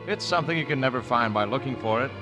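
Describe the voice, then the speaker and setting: A man playing a smooth-talking suitor who calls at a laundry, in 1940s radio operetta style. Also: medium pitch, fast, lightly acted. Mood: romantic. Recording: old radio broadcast with music behind.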